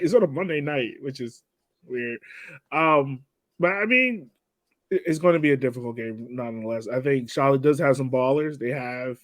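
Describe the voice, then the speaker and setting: Male sports podcast co-host. Slightly high voice, casual conversational analysis, very dry recording. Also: a bit bright, no room sound. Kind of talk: casual soccer post-game chat.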